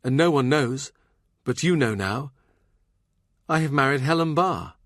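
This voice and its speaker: A man's voice: medium pitch, animated, a little slow, fairly bright tone, dry recording, clean studio sound, voice pushed up. A man voicing an eager, loyal young man confiding in his master, earnest and direct, in audiobook dialogue. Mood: confessing.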